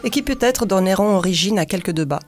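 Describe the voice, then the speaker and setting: A woman with an Italian accent, hosting and reading a reflective segment in a measured way, with occasional repeated syllables. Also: medium pitch, lively intonation, faint music behind. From Italy. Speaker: podcast host. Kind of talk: reflective scripted commentary.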